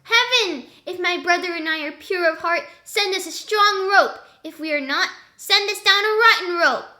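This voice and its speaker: Woman as a very high, bright little girl. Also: moderate pace. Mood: pleading, earnest. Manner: childlike, earnest. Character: innocent, trusting little girl, younger sister.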